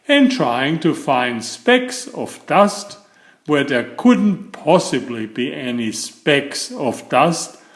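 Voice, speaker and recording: Slow-paced man reading a storybook, roomy echo. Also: fairly high pitch. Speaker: storybook narrator. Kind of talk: children's storybook read-aloud. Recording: roomy, echoing sound.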